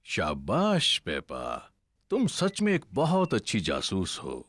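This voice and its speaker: Hugely expressive, kindly father pig. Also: lowish voice, slow pace, dry recording, clean studio sound, exaggerated voice. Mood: encouraging. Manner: gentle, encouraging. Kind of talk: children's cartoon dub.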